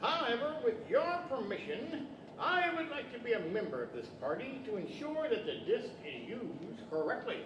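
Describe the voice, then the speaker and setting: Man playing a high-pitched, pompous stage wizard. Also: sing-song, moderate pace, highs cut off. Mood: courtly, careful. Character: male wizard of Oz, fussy, theatrical, learned magician. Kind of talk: 1940s radio-style stage acting. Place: library stage, microphones on stands. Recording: echoey hall from far off, noisy.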